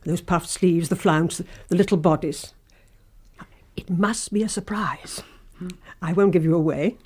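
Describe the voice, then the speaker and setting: A woman's voice: lowish voice, unhurried, darkish tone, husky. An actress playing a sinister, obsessive housekeeper in a radio drama, insinuating and intimate, lingering on her phrases. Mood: sly, coaxing.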